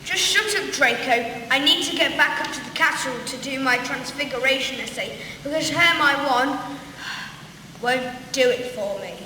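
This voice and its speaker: A radio-play scene in a heavily reverberant hall with faint noise. A young woman plays a dismissive school pupil, snarky, with a high voice. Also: normal speed, bright, forceful tone. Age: young adult. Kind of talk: comedic radio play acting. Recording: very echoey hall, distant mic, faint noise.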